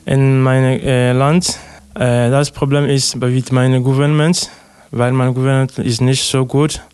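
Slow, flat-voiced man from Togo. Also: medium pitch, smooth, no room sound. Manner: hesitant, many "äh" fillers, simple sentences. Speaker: interviewee, refugee. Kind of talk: halting interview answers in learner German.

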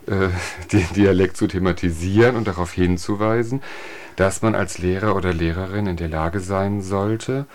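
Lowish-voiced man, speech trainer, calm teacherly explaining in a radio chat. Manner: measured, instructive, gives everyday examples. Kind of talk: radio interview guest, explanatory.

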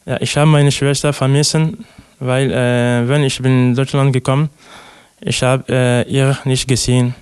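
A man's voice: mid-range voice, flat delivery, moderate pace, darkish tone, clear, resonant tone, no echo. A young man from Togo answering interview questions in hesitant, simple sentences with many fillers. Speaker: interviewee, refugee. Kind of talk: halting interview answers in learner German.

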